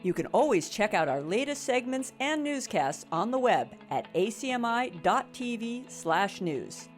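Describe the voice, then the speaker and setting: A woman with a slightly high, animated voice reads a scripted news program sign-off as its announcer. Faint music plays behind her. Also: unhurried. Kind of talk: scripted promotional outro.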